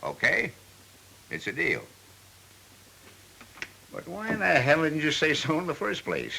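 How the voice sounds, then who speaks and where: An actor playing a blunt, skeptical man haggling over a car in a mid-century training film, gruff and quick to interrupt. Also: fairly high pitch, wildly swooping pitch, bright, forceful tone, a little hiss or hum, heavily acted. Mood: won over.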